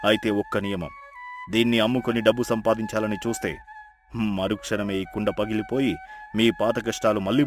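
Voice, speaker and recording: Deep, solemn male deity played by a man, benevolent and grave, storybook drama with music behind. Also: lightly acted. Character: grave, benevolent male river god in ascetic's guise. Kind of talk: animated moral folktale acting.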